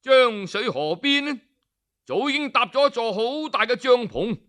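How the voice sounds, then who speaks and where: A man telling a traditional folk tale in a measured, expressive way. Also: very high voice. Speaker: storyteller.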